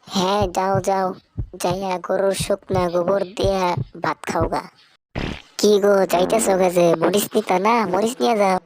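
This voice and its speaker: A woman's voice: mid-range voice, steady tone, moderate pace, noisy background, close to an everyday voice. Woman as taunting Bangladeshi market uncle, cartoon. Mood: mocking, dismissive. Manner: curt, taunting. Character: gruff, mocking male market vegetable vendor, older. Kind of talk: amateur comic cartoon dubbing. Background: loud music.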